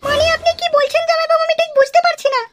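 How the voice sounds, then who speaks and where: An actor voicing a squeaky, fussy, doting female in-law in a comedy cartoon. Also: flat delivery, rapid-fire, soft background music. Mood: coy. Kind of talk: Bengali comedy cartoon dialogue. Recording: loud noise behind the voice.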